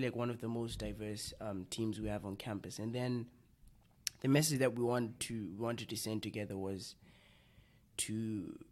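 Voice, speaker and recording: A young man from Zimbabwe, a guest in a casual chat, talking loosely with many fillers and restarts. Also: mid-range voice. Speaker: guest, clothing brand co-founder. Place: coffee shop.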